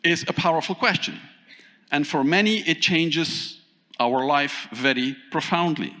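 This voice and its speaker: Keynote speaker, male, somewhat high voice, engaging and lively, asks the room questions; hall reverb on the mic. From Thailand. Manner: animated, asks the audience questions, pauses often. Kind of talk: conference keynote talk. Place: large conference hall.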